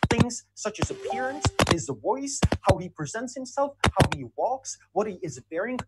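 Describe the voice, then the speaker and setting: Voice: medium pitch, expressive, normal speed. A debater giving a formal, structured competitive speech, signposting each point, over a video call. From Czech Republic. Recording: loud background noise.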